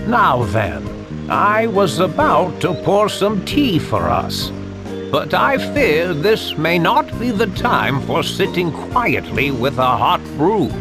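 A man voicing a feeble, kindly old sage in a video game, with creaky, theatrical, old-fashioned speech. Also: on the high side, huge pitch swings, takes it slowly, heavily acted. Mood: pensive, gentle. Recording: music under the voice.

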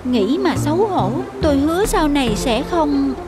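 A woman playing a grateful, apologetic young woman in an animated drama, contrite and emotional. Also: high voice, normal speed. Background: loud background music.

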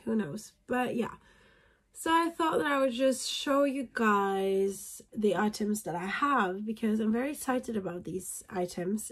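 A Norwegian woman in her thirties with a Norwegian accent, chatting hesitantly to a camera at home, with lots of fillers and restarts. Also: medium pitch. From Norway. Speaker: equestrian vlogger. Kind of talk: casual talk to camera. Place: small room indoors at home.

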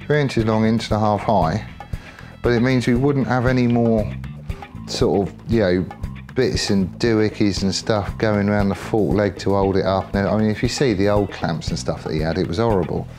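An English man with a fairly low voice talks through a hands-on bike build. He is chatty and colloquial, working out his ideas aloud as he goes, with music playing behind him. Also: steady tone, normal speed. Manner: thinks out loud, chatty and colloquial. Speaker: motorcycle builder presenting a workshop project. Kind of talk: casual off-the-cuff workshop commentary.

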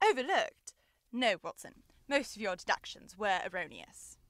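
A woman's voice: medium pitch, very animated, normal speed, fairly bright tone. A young woman playing a brilliant, self-assured male Victorian detective in a theatrical, precise radio drama style. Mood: confident, instructive. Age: young adult. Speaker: student actor.

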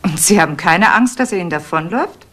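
A woman's voice: fairly low pitch, expressive, fast talker, a bit bright, a little hiss or hum. A woman acting an insistent, curt married complainant in a radio crime drama. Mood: insistent, indignant.

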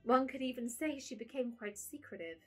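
A woman narrating a classic story in a measured, gentle manner. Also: on the high side, animated, breathy edge, soft background music.

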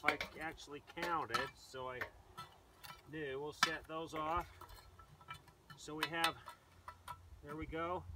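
A middle-aged man hosting a casual cooking demonstration, talking plainly and relaxed while he works with his hands, first in a kitchen, then in an open field. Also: a little high, distant mic, background noise. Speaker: outdoor cooking host. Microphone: camera mic at a distance.

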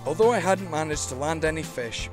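A man's voice: fairly high pitch, animated, a little fast. A man with a Scottish accent giving scripted voice-over narration as a fishing guide and channel host, with dry, self-deprecating humor. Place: voice-over, not recorded on the shore. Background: guitar music.

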